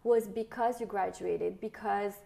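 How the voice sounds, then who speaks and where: A woman's voice: medium pitch, steady tone, measured pace, creaky, warm tone. A middle-aged woman hosting a casual conversation, talking loosely with many fillers and prompts. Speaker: host. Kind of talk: casual interview chat.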